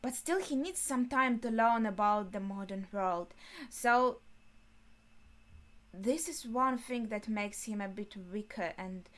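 A young woman speaks in a somewhat high voice at a slow pace. She comments casually, as a video host reacting, and pieces her thoughts together haltingly as she goes. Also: a bit bright. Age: young adult. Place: small bedroom, indoors.

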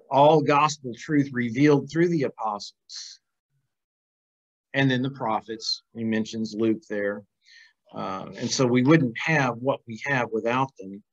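A middle-aged man teaching an informal Bible class over a video call, reading aloud and commenting conversationally with questions to the class. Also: medium pitch. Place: small plain room, indoors. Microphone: video call, computer microphone. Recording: dull, lo-fi video call audio.

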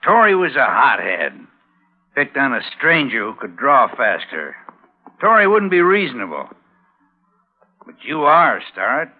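A smooth-talking western henchman coaxes in a lively, mid-pitched voice. It is 1950s radio drama acting on a tinny, narrow recording. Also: measured pace, pauses often. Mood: persuasive. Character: smooth-talking, persuasive henchman brother of cattle boss.

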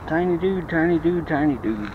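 A man with an American accent, talking casually to himself and the fish while catching bass at a weedy pond bank, in an offhand, self-mocking way. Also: lively intonation, normal speed. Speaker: fishing vlogger. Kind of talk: casual running commentary while fishing. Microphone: chest or head-mounted action camera. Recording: muffled action-camera audio with outdoor noise.

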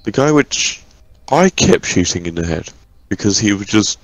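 A man's voice: on the low side, sing-song, moderate pace, low-quality recording. A man chatting casually while gaming, teasing and swearing as he goes. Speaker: gamer in group voice chat. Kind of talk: casual joking game chat.

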